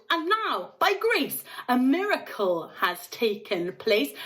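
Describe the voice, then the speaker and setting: Woman as singsong, moralising tiny storybook chanters. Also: fairly high pitch. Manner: emphatic, drawn-out, singsong delivery. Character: Oompa Loompas, tiny gleeful chanting moralising little folk. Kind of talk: theatrical rhyming verse chant from a storybook.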